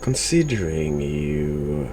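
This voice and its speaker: A man with an extremely low voice gives casual, deadpan replies as he plays a horror game. He takes his time, with a slow drawl and lively swings in pitch. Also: soft background music. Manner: dry, deadpan. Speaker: video game player reacting as host.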